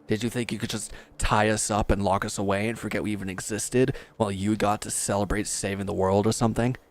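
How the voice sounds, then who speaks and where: A man's voice: on the low side, creaky. A man playing a bitter, proud and resentful injured villain in a dramatic audio roleplay, speaking with sardonic, confrontational edge. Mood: angry, accusing.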